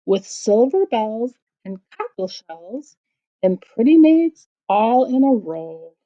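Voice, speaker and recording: Woman, teacher reading nursery rhymes, lively swooping intonation, unhurried, expressive, sing-song, dull lo-fi audio. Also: fairly high pitch. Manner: sing-song, deliberate storytelling delivery. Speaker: teacher reading aloud to children. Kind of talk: expressive children's read-aloud of rhymes. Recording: dull lo-fi sound.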